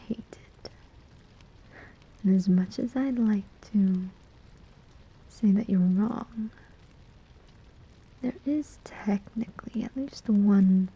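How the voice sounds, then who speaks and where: A woman's voice: medium pitch, dark tone, full character voice, poor audio. A woman playing a sly, menacing female demon who collects souls, in a teasing whispered ASMR roleplay. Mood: disdainful. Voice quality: breathy whisper. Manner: slow, deliberate, teasing.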